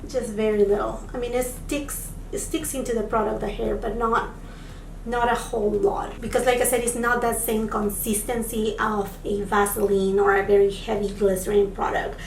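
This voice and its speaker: Slightly raspy woman in an echoey room. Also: mid-range voice, level tone, moderate pace, slight background noise. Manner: chatty, addresses viewers as friends. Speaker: beauty vlogger. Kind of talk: product review vlog. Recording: echoey room, mic at a distance.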